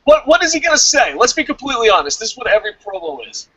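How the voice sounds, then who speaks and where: A man's voice: very high-pitched, very animated, rapid-fire, fairly bright tone, no room sound, low-quality recording. A man co-hosting a wrestling review show in casual, opinionated chat, speaking bluntly and with plenty of swearing. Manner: profane, blunt.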